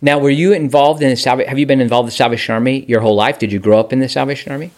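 A man's voice: mid-range voice, steady tone, very fast, darkish tone. Eager stammering male podcast host. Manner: eager, stammers and restarts questions. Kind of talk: casual interview hosting.

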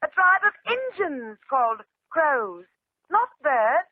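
A woman's voice: high-pitched, big pitch swings, takes it slowly, exaggerated voice. A woman playing a stage actress in a radio drama, declaiming quaint comedy lines in an exaggerated, projected theatrical manner. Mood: gushing. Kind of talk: 1950s radio acting of old stage delivery. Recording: noisy, narrow-band 1950s radio recording.

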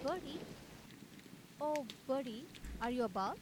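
Nervy young painter fella voiced by a woman, hesitant, a bit high, radio comedy. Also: expressive, a little slow, very noisy. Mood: eager. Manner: hesitant, fretful, period slang. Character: anxious young male painter seeking help from a friend.

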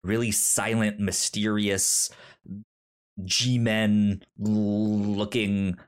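A podcast co-host speaks in a casual, conversational way about movies. The pace is slow, and the delivery is earnest and emphatic, with frequent stammers and repeated syllables. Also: low voice, level tone, clean tone. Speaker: podcast co-host discussing films. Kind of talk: casual conversational film discussion.

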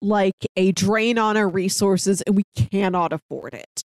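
A woman's voice: medium pitch, steady tone, a little slow, no room sound, studio quality. A woman co-hosting a podcast, discussing a film casually and explaining her points with emphasis. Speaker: podcast co-host reviewing a film.

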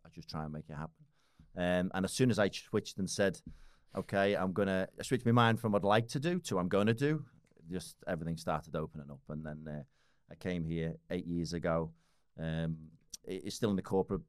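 A man's voice: deep voice, clean tone, no room sound, studio quality. A man in his forties with a Liverpool accent, chatting casually as a podcast guest, rambling with lots of restarts and fillers. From Liverpool, England. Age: 40s.

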